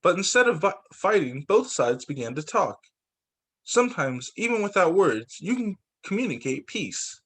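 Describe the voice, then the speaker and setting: A man, a teacher, reading a picture book aloud to students in an expressive, deliberate storytelling way. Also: high voice, breathy edge.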